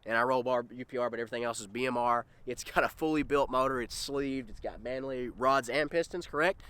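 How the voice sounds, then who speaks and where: A young man hosting a casual car vlog. He sounds a little congested and talks in an excited, rambling way, first inside a car and then outdoors, into a handheld camera held at arm's length. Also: medium pitch, brisk, clear, resonant tone. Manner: excited, rambling, addresses viewers as guys. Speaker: car vlogger host.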